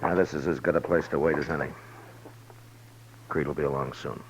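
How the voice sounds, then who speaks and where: Low-voiced, stoic frontier marshal. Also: male voice, fairly even intonation, a little fast. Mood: grave, resolute. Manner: laconic, plainspoken, resolute. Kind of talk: 1950s radio western acting. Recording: muffled noisy old radio recording.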